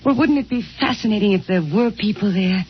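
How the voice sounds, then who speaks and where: An actress playing a wistful, unhappy Martian wife in old-time radio drama, musing and hesitant. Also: female voice, medium pitch, brisk, natural voice. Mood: dreamy, wistful. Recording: muffled, faintly noisy vintage radio recording.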